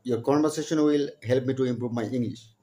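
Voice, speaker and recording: Young man from Bangladesh, eager English learner on a video call, halting phrasing, mid-pitched voice. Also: a crowd in the background. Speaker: channel host practicing English with strangers. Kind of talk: casual video chat with a stranger.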